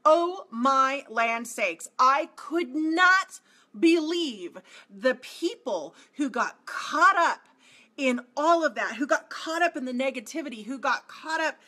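Woman, forties, high-pitched, coaching vlog, emphatic repeats, close webcam. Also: takes it slowly. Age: 40s. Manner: emphatic, repeats phrases, animated with hand gestures. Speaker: marketing coach. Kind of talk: direct-to-camera motivational talk. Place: small home office indoors.

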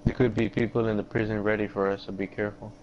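A man's voice: on the low side, level tone, quick pace, a little mellow. A young man playing a team video game, making casual, repetitive callouts to his teammates over voice chat. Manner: repeats short callouts. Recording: dull, noisy game voice chat.

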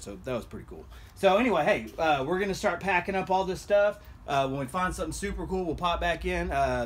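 A man, chatting casually and jokily to camera as he hosts a rambling unboxing vlog. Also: on the high side. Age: 30s. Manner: rambling, folksy, jokey. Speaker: host of storage unit unboxing show. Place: storage unit and truck, open doors. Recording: noisy camera audio with vehicle sounds.

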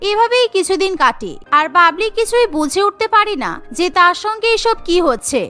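Woman narrator for a cartoon story, really high bright voice, bit of music behind. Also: very animated, brisk.

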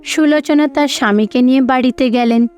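A woman's voice: high-pitched, a little fast, a little mellow. A woman reading scripted storybook narration for a cartoon. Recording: faint music behind.

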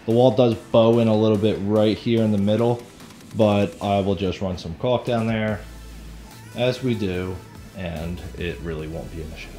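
A man's voice: on the low side, moderate pace, warm tone, faint music behind. A man in his thirties talking casually to camera in a kitchen, explaining his renovation work step by step. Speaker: host, DIY home renovator. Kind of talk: casual vlog talk while working. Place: indoors, kitchen under renovation. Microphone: handheld camera at arm's length.

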